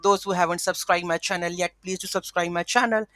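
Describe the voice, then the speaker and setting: A woman's voice: fairly low pitch, steady tone, brisk, fairly bright tone, dry recording. Woman walking through a tutorial, dry sound. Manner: instructional, thinking aloud step by step. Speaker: tutorial host. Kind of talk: informal screen-share coding tutorial.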